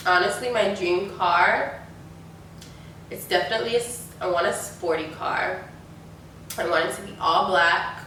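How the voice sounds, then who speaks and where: A woman's voice: fairly low pitch, fairly even intonation, unhurried, pauses often, bright, forceful tone. Stuffy-voiced young woman in an echoey room. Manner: chatty, lots of likes and ums. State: stuffy from a sinus infection. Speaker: beauty YouTuber. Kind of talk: casual Q&A chat. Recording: echoey room, mic at a distance, faint noise.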